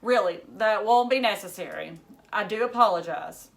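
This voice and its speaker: A woman's voice: mid-range voice, moderate pace, gravelly, lightly acted. A woman voicing a restrained, weary, easily embarrassed father in a children's novel read aloud.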